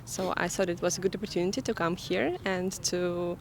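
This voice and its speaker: A woman's voice: lowish voice, steady tone, a little fast, gravelly, slight background noise. Enthusiastic woman hunting for words. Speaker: former language student, interviewee. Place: outdoors on a city street.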